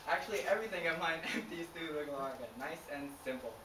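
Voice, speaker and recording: A woman's voice: sits low, expressive, fast, sharp tone. A woman voicing a boastful, touchy male shark in an amateur comic puppet show, with a giggly delivery. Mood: explaining. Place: indoors, homemade puppet stage filmed by a camera. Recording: echoey, distant, noisy home camera recording.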